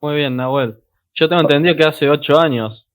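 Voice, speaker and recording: A young man hosting a podcast, asking relaxed questions with short prompts. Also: on the high side, brisk. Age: young adult. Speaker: podcast host. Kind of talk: casual interview questions.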